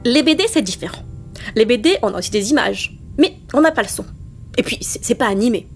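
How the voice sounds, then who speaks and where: A woman's voice: on the high side, expressive, fairly quick, faint music behind. A woman playing a talkative passenger who rambles on and keeps asking for agreement. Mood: rambling.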